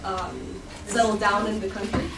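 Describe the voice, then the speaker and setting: A woman's voice: mid-range voice, flat delivery, moderate pace. A woman acting as an interpreter, relaying what is said to a group in short spoken passages. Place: echoey room at a group event. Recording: echoey, distant mic, loud noise, clicks.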